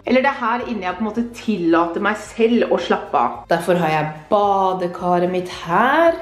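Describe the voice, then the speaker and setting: A woman's voice: medium pitch, lively intonation. A young woman from Norway chatting upbeat to her viewers while showing around her home on a handheld camera. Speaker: vlogger. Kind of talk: casual house tour vlog. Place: bright modern home, indoors. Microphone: handheld camera at arm's length.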